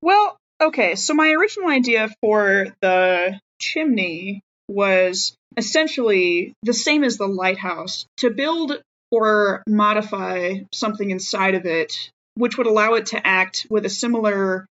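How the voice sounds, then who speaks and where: A woman with a mid-range voice chats casually as a player in a tabletop game. She thinks aloud in a halting way, and the recording is a little dull and lo-fi. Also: medium pitch, expressive, fairly bright tone.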